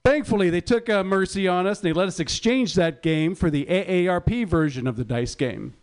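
A man's voice: high voice, a little fast, clean tone. A middle-aged man performing a stand-up comedy set in a wry, sarcastic, profane complaining manner.

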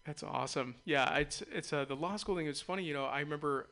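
A man's voice: a little high, quick pace, fairly bright tone. A man hosting a radio interview show, chatting loosely in a rambling way and laughing easily. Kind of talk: casual interview chat.

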